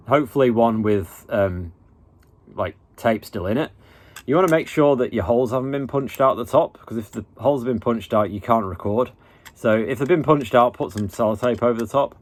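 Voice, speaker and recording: A man hosting an informal hands-on tutorial, talking in a laid-back, halting, colloquial way. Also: medium pitch. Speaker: tutorial host.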